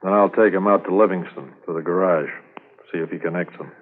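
An actor playing a keen, businesslike police investigator and dog handler in a brisk, earnest 1950s radio drama style. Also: male voice, low voice, steady tone. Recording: noisy, tinny 1950s radio transcription.

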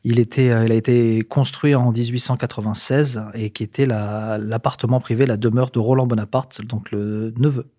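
A man's voice: mid-range voice, fairly even intonation, moderate pace, hardly any pauses, mellow, no room sound, studio quality. A man in his forties from southern France, a hotel manager answering interview questions with many fillers and restarts. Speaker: hotel general manager, guest.

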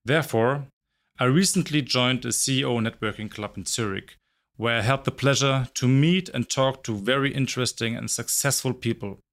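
Director, man, 40s; confident, brisk sales-style delivery. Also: medium pitch, fairly bright tone. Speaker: recruitment company director. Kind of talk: scripted self-introduction.

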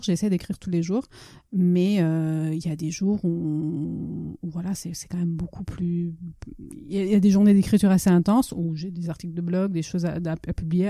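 A native Italian-speaking woman from the Naples region, talking casually as an interviewed author, halting and often rephrasing. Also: on the low side, mellow, no room sound, clean studio sound. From Naples region, Italy. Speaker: author and blogger being interviewed.

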